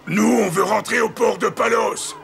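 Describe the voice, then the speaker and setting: An actor voicing a rough, surly, mutinous sailor in an animated historical series, loud and grumbling. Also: male voice, sits high, normal speed, soft background music, heavily acted. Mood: angry, defiant.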